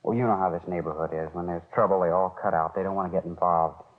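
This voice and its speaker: An actor playing a talkative, harried bar owner giving colloquial, rambling witness statements in a 1950s radio crime drama. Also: male voice, low voice, steady tone, quick pace. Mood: agitated, recounting. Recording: tinny, narrow-band old radio recording.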